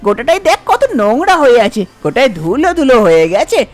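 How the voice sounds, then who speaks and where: Woman playing a scolding, animated cartoon stepmother. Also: sits high, quick pace. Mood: bossy. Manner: nagging, bossy, dismissive. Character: harsh, scolding, impatient village stepmother. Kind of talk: cartoon story voice acting.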